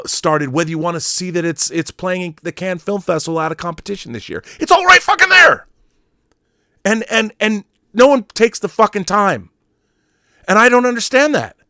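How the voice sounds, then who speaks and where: A man's voice: a little high, sharp tone, dry recording, studio quality. A middle-aged American man hosting an online show, delivering a casual, opinionated rant in an exasperated, emphatic, rambling way, often acting out imagined exchanges. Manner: rambling, acts out imagined dialogue.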